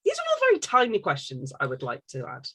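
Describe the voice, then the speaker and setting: A woman's voice: deep voice, wildly swooping pitch, fast talker, a bit bright, breathy edge. A woman hosting a casual interview, reading out questions and playfully teasing her guest. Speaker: podcast interviewer.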